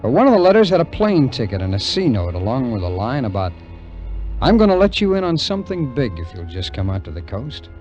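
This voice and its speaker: A man's voice: mid-range voice, sing-song, fairly quick, darkish tone, natural voice. An actor playing a wary, cynical American ex-soldier who tells his story in slangy, wry 1950s radio drama narration. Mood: hard-boiled recounting. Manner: slangy, hard-boiled, wry. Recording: vintage radio recording with music.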